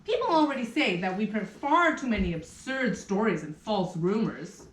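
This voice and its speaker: A woman playing a prim, chatty, officious newspaper clerk in a theatrical puppet show, with a brisk, fussy, exaggerated delivery. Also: medium pitch, expressive, natural voice. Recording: roomy, distant rehearsal room recording.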